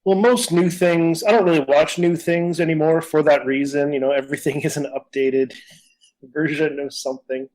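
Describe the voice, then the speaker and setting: A man's voice: on the high side, steady tone, normal speed, a little mellow, poor audio. Casual, rambling male podcast co-host. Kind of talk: casual conversational discussion.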